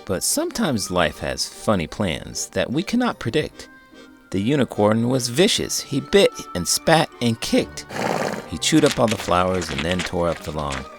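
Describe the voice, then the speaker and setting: A man with a low voice reads a children's story aloud. His delivery is theatrical and very animated, swinging widely in pitch. Faint music plays underneath. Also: a little slow. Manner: theatrical, expressive. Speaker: host, children's book reader.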